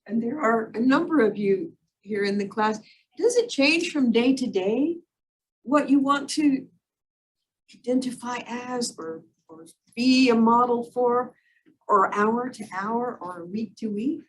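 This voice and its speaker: A woman's voice: on the high side, a little slow. A woman, an instructor on a panel, posing a long, earnest question. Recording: distant mic with faint room noise.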